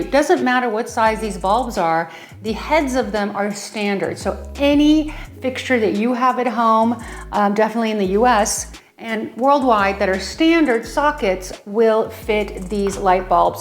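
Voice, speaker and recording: A woman giving a casual, enthusiastic product demo in her home, gushing about favorite items with frequent ums. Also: medium pitch. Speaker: home design vlogger. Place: her home, closet and kitchen.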